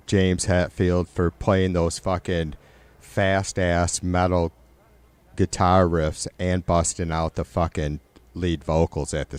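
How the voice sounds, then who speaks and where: A middle-aged man with a nasal, raspy voice, hosting a livestream and chatting loosely with viewers, rambling and swearing. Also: low voice, darkish tone. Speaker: livestream host. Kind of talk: casual chat with live viewers.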